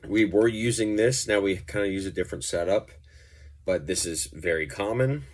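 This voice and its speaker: A man with a low-pitched voice talks through a gear demonstration as a musician would. His delivery is informal and loosely explanatory, wandering from point to point in a conversational way. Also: moderate pace. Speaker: pedal reviewer and musician. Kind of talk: casual gear demonstration talk.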